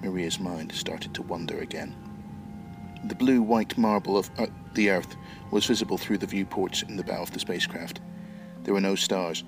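A man's voice: low-pitched, moderate pace, music in the background. A middle-aged man from Northern Ireland, speaking as a writer and podcast guest. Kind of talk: interview answers and reading own short story.

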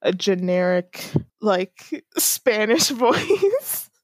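A woman's voice: medium pitch, very animated, drawn-out words, dry recording. A young woman chatting casually with a friend, thinking aloud with many fillers and laughing often. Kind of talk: casual chat.

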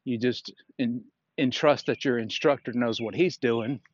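A man's voice: mid-range voice, unhurried, dull audio, slight background noise. A man talking casually on a solo podcast as a fitness instructor, explaining things in a plainspoken, frank manner.

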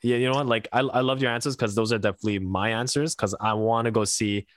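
A young Canadian man hosting a casual soccer podcast, chatty and quick to laugh, often restarting his sentences. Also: medium pitch, steady tone, no room sound, clean studio sound. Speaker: podcast host. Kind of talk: casual chat. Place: Montreal.

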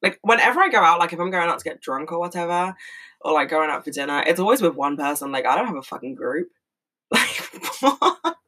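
A woman in her twenties talking casually and frankly, swearing a lot and rambling with many fillers, in a fairly monotonous voice. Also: fairly low pitch, fairly quick. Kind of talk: casual monologue.

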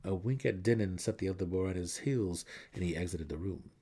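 A man's voice: low-pitched, fairly quick, warm tone, breathy edge. A man reading a fantasy novel aloud as a dramatic audiobook narrator.

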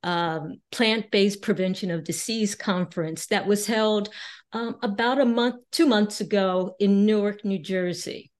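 A woman hosting an interview, asking polite questions in a deliberate way with occasional fillers. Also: mid-range voice. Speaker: interviewer, host.

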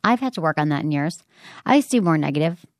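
A woman's voice: sits low, expressive, quick pace, dry recording, clean studio sound. A woman hosting an advice podcast, chatting casually and coaching listeners in an upbeat way. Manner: upbeat, coaching, reads listener letters aloud.

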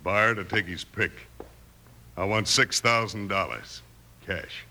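Gruff, low-voiced Western villain. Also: male voice, takes it slowly, bright, forceful tone, full character voice. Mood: impatient, curt. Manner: gruff, curt, bullying demands. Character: ruthless, impatient male rancher villain, a killer boss. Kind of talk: 1950s Western radio drama acting. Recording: noisy vintage 1950s radio recording.

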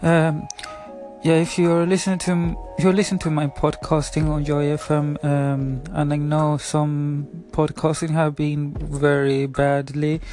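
A man's voice: a little high, flat delivery, measured pace, darkish tone, faint music behind. Hesitant young Swedish-accented man. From Sweden. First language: Spanish. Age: 20s. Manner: hesitant, many fillers and restarts, apologetic. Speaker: podcast host. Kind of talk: casual solo podcast monologue.

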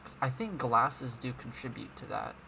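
A man co-hosting a casual podcast chat, animated and quick to stumble over his words. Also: mid-range voice, gravelly, a loud crowd, low-quality recording. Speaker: podcast co-host.

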